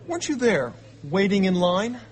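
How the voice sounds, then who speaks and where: An actor playing a brash young amateur sleuth in a radio drama, eager and blurting, stammering when challenged. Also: male voice, sits high, big pitch swings, moderate pace. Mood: awkward, probing.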